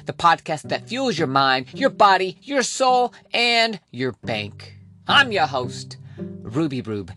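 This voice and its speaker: A man's voice: medium pitch, sharp tone. Hype-driven male podcast host. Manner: hype, playful, dramatic drawn-out words. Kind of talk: scripted motivational podcast monologue.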